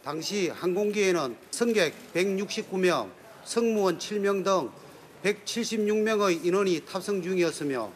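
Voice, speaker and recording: A man reading out a formal, scripted statement as an official at a press briefing. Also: sits high. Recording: faint background ambience and noise.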